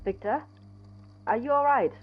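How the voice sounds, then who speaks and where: A woman playing an appalled, anxious young male college roommate in an audio drama, blunt and emotional, with a husky, breathy voice. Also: fairly high pitch, unhurried, narrow, tinny audio. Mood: worried.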